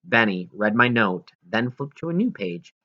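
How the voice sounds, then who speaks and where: A man reading a first-person story aloud in an expressive, earnest way, now and then stumbling. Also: on the low side, moderate pace, dull audio. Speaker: audiobook reader.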